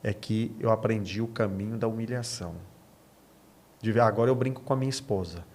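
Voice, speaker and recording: Low-voiced Brazilian man, a preaching pastor. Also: fairly even intonation, fairly quick, a little mellow. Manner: emphatic, repeats phrases for emphasis. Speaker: pastor, podcast guest. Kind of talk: conversational preaching in an interview.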